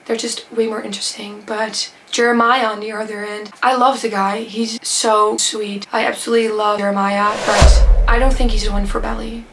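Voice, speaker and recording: A woman's voice: medium pitch, flat delivery, normal speed, few pauses, over music, rough recording. Chatty teen girl. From Netherlands or Flanders. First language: Dutch. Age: teens. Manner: chatty, gushing, rambling with fillers. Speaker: book vlogger. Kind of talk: casual Q&A chat to camera.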